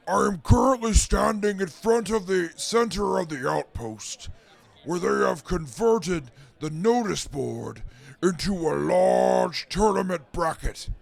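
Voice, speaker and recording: A man voicing a haughty non-human field reporter in an audio drama, formal and condescending toward humans, with a gravelly voice. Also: high-pitched, expressive, heavily acted. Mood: formal, proud. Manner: formal, pompous, condescending, deliberate. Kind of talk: mock news report in post-apocalyptic audio drama.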